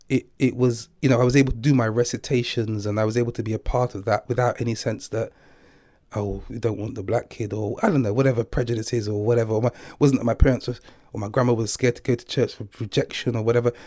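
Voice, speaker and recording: Older male interviewee, thoughtful and philosophical, mid-pitched voice, recorded dry. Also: a little mellow, no room sound. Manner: thoughtful, philosophical, chuckles now and then. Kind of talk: reflective personal interview.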